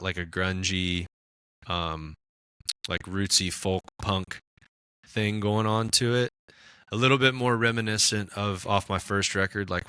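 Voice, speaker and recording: A raspy-voiced man in casual podcast chat, talking about his music with lots of fillers and restarts. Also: low voice, bright, forceful tone, no echo. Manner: rambling, many fillers and restarts. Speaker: musician guest.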